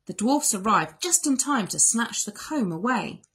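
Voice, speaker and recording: A woman narrating a children's story aloud in an expressive storytelling style. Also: on the high side, measured pace, slightly rough. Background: music.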